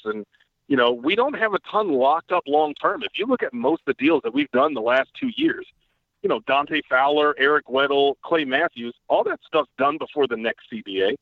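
A man, a guest writer, talking through football analysis in a casual podcast chat, quick and a bit profane. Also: fairly high pitch, vocal fry, dry recording. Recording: tinny dry phone-line audio.

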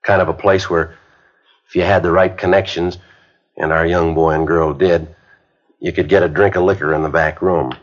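An actor playing an earnest police sergeant rehearsing a school speech in a 1950s radio drama, heard on a muffled old broadcast recording. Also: male voice, deep voice, little pitch movement, moderate pace. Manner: earnest, plain-spoken lecturing. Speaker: police detective giving a speech.

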